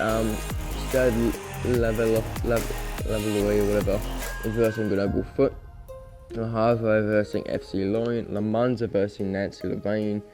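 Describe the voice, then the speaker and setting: A man who is not a native English speaker, hosting a football management game video with play-by-play commentary. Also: fairly low pitch. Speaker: YouTube gaming host.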